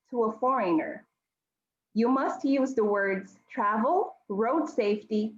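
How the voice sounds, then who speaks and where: A woman from Mozambique hosting an online club meeting over a video call, giving friendly instructions with frequent ums. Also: fairly high pitch. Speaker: meeting host. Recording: echoey, dull audio.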